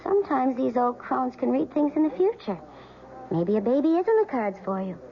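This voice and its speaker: A gossipy, scatterbrained best friend, hesitant and talkative. Her voice sits a little high. The old radio drama recording has a dull, lo-fi sound. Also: very animated, fairly quick. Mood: chatty. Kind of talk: 1970s radio drama acting.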